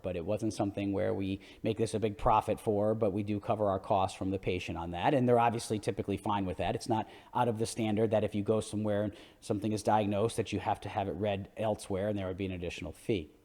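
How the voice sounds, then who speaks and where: A man's voice: fairly low pitch, mellow. A man speaking as an orthodontist coach, giving an explanatory clinical lecture in an emphatic, informal, opinionated way.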